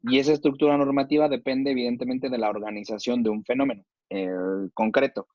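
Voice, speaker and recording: A man's voice: medium pitch, fairly even intonation, fast, no echo, muffled recording. A man with a Mexican accent, a chef debating in a casual, slangy way and using plenty of rhetorical questions.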